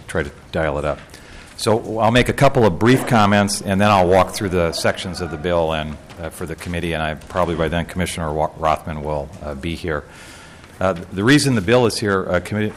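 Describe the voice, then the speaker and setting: Deep-voiced man testifying, halting. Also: a bit bright, a little hiss or hum. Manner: halting, many "uh" fillers. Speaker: insurance industry representative testifying. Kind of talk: committee testimony. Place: legislative committee hearing room.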